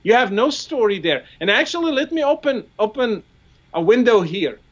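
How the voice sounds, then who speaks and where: A man's voice: extremely high pitch, sing-song, normal speed, lo-fi sound. A man with an Arabic accent, a native Arabic speaker, explaining his points in a discussion and asking rhetorical questions, often repeating words as he builds up. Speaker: guest commentator. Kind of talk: discussion on a live show.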